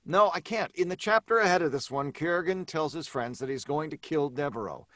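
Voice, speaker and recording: A man's voice: on the high side, brisk, bright, forceful tone, dry recording, studio quality. A man playing a frustrated, stubborn writer in an old-time radio play, emphatic and exasperated.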